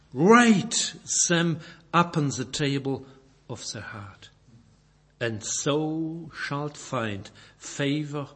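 Belgian man preaching in careful English. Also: on the high side. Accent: Belgian German. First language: German. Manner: halting non-native English, repeats and self-corrects words. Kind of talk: sermon with scripture reading.

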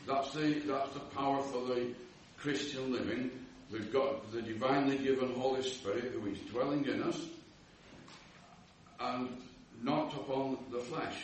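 A man's voice: medium pitch, steady tone, unhurried, slight background noise. Lancashire man at an echoey Bible study. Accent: Lancashire English. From Lancashire, England. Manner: earnest, hesitant, repeats words while explaining. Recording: echoey room from far off.

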